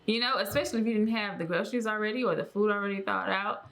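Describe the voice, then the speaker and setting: A woman's voice: mid-range voice, steady tone, fast, vocal fry, a bit bright, slight background noise. An American woman co-hosting a podcast, talking casually in a slangy, conversational way. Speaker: podcast co-host.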